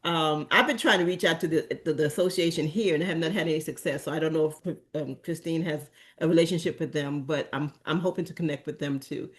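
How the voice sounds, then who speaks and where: A woman's voice: sits low, a little fast, few pauses. A middle-aged woman born in Antigua and Barbuda, giving a rambling, casual talk to a community meeting, full of fillers and restarts. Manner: meandering, with many fillers and restarts. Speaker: community presenter at an association meeting.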